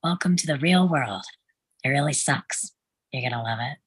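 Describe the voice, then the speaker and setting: A woman's voice: rumbling low, normal speed, slightly rough, dry recording. A woman playing a blunt, exasperated young working woman, sarcastic but caring, in a sitcom table read. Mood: blunt, wry.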